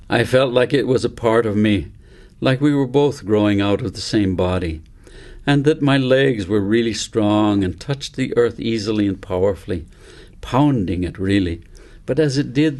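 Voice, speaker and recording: A man's voice: on the low side, a little mellow. A man narrating a story as an author reading his own book aloud.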